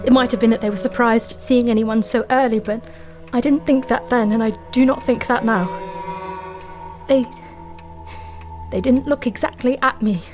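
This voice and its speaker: An actress playing a desperate, haunted woman confessing a supernatural dread in an audio drama, distraught and halting. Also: a little high, over music, lightly acted. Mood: haunted, uneasy.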